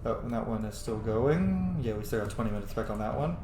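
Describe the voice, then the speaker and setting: A man's voice: on the low side, expressive, fairly quick. A young man giving casual, rambling playthrough commentary on a video game, with joking asides. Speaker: streamer playing a video game. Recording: roomy echo, faint background noise.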